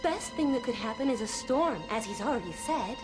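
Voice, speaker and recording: A woman as a composed, authoritative cloud creature in a children's cartoon. The voice sits fairly high and explains things firmly, with music playing behind it. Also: animated, fairly quick, lightly acted. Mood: resolute. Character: calm, authoritative cloud-observatory scientist creature. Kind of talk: cartoon voice acting.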